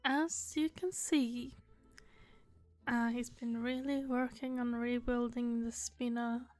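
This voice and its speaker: A woman gives casual gameplay commentary in a fairly high voice. She talks at a leisurely pace with wry, joking remarks, and game music plays behind her. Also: flat delivery, slow pace, a little mellow. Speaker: game streamer.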